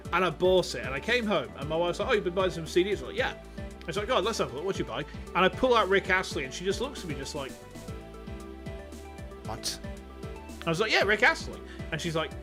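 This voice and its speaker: Rambling Yorkshire streamer, lively voice. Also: male voice, on the high side. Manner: rambling, jokey storyteller. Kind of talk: casual gaming chat. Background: game music.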